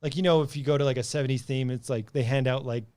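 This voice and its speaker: A man's voice: mid-range voice, steady tone, fast, darkish tone, no echo. A man chatting casually as a podcast co-host, telling anecdotes in a loose, chuckling, sweary way. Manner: chuckles often, profane. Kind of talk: casual banter and storytelling.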